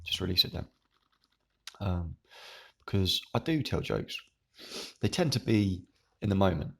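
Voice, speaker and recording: Croaky deep-voiced young man. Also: big pitch swings, a little slow, a bit bright. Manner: rambling, meandering. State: croaky, hoarse. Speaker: YouTuber. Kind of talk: casual monologue to camera.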